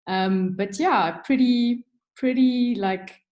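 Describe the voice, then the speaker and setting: A woman's voice: fairly high pitch, slow pace, a little mellow, highs cut off. A middle-aged South African woman, a creative director, chatting candidly as a webinar guest, with many ums and restarts. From South Africa (Durban). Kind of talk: casual webinar chat. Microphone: video call.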